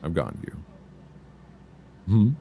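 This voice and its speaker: A man playing an affectionate, reassuring boyfriend in an intimate, casual ASMR roleplay, speaking softly and soothingly close to a binaural mic. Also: sits low, huge pitch swings, creaky, narrow, tinny audio, full character voice. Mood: gentle, reassuring. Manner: soft, intimate, unhurried, soothing. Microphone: close binaural microphone. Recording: faint background noise, binaural.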